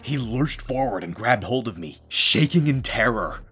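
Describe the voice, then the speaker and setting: A man playing a haunted janitor from a space base, recounting horrors in a brooding, theatrical way with deliberate pauses. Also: medium pitch, animated, a bit bright, lightly acted. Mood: haunted, dread. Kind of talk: dramatic scripted audio-drama narration.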